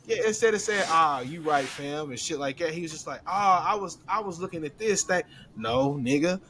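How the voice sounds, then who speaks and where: A man's voice: fairly high pitch, animated, moderate pace, pushed and bright, slight background noise. A man in his thirties, co-hosting a podcast, telling a work story in a laid-back, slangy way. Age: 30s. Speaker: podcast co-host. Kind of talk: casual chat.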